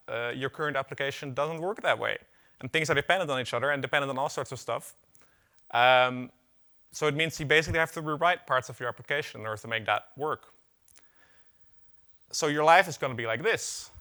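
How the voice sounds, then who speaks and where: Man, from the Netherlands, bright voice, conference speaker, relaxed chatty delivery, lots of ums. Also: mid-range voice. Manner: casual, filler-heavy, wry asides. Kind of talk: technical conference talk. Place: conference hall.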